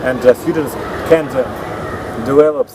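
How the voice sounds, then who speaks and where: Russian-speaking man over loud background noise. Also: mid-range voice, fairly even intonation, moderate pace. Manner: hesitant, reading notes with fillers. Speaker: co-host. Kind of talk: casual chat reviewing startups. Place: indoor atrium of a large building.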